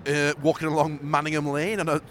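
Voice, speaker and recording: A Yorkshire man co-hosting a podcast, chatting casually and excitably while watching football. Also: on the high side, quick pace. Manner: excitable, laughing, reacting to the match. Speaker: podcast co-host.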